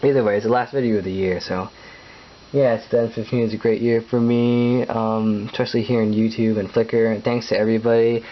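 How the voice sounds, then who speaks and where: A man's voice: on the low side, level tone, brisk. Chatty teenage guy. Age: late teens. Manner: chatty and rambling, many fillers and restarts, chuckles often. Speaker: vlogger. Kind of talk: casual rambling vlog chat. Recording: dull lo-fi recording with faint hiss.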